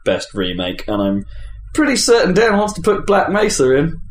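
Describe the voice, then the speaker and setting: A man's voice: high-pitched, wildly swooping pitch, moderate pace. A man hosting a podcast, chatting casually about video games in a conversational, hedging way. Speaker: podcast host. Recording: roomy echo, clear background noise.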